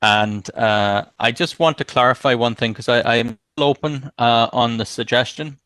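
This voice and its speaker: A man councillor speaks in a council debate. He hesitates and restarts as he thinks aloud. His voice is bright and crisp, and it sounds dry and close. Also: mid-range voice, normal speed, no room sound.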